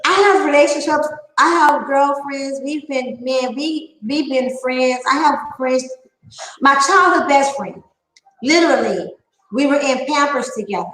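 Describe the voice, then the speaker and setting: A woman's voice: high voice, a little slow, a bit bright, echoey room, poor audio. A woman nearing fifty giving a candid opinion monologue, emphatic and repeating her phrases for effect. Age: late 40s. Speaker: online panel talker.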